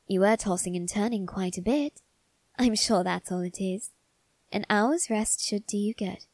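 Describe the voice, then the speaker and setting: A suave young vampire lord, acted out by a woman, murmurs to the listener in a mellow, unhurried voice. He is doting and genteel, with a condescending, faintly sinister sweetness. It is an intimate ASMR roleplay, recorded close and dry. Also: mid-range voice, no room sound. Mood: feigned concern. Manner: genteel, coaxing, softly condescending.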